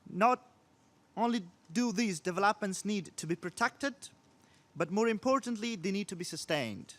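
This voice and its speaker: A man's voice: sits high, lively intonation, normal speed. A young man from Afghanistan, giving an earnest, formal address to an assembly in a large conference hall. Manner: earnest, declamatory, occasional restarts. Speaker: youth delegate.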